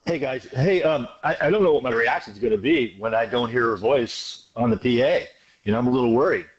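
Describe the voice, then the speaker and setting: A man's voice: medium pitch, fairly quick, slightly rough, lo-fi sound. A man calling in to a sports radio show, hesitant and wry. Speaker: caller.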